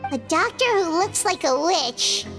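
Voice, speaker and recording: A woman voicing a tiny, curious and excitable girl companion in a video game, with a squeaky, childlike voice and expressive reactions. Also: unhurried, soft background music, full character voice. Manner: talks of self in third person, exclaims, reacts expressively. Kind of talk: animated video game voice acting.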